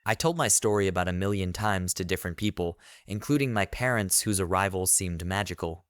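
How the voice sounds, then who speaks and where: A man reading a scripted first-person horror story in a deliberate, literary storytelling manner. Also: deep voice, clean tone, dry recording, clean studio sound. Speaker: narrator of horror stories.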